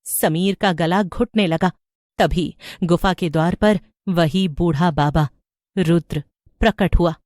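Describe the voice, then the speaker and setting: A woman's voice: on the low side, slow pace, a little mellow, no room sound, clean studio sound. A woman reading scripted narration for a horror story.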